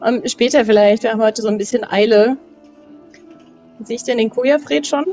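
A woman speaks casually in character as a role-playing game player. Her pitch is average. The recording is a bit dull, with soft music underneath. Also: normal speed.

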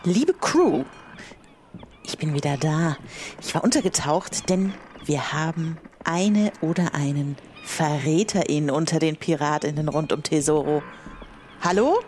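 A woman plays a pirate captain in an audio adventure, purposeful and a little grand. Her voice sits fairly low and she speaks with command, over faint background noise. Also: animated, unhurried, darkish tone. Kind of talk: improvised audio adventure roleplay.

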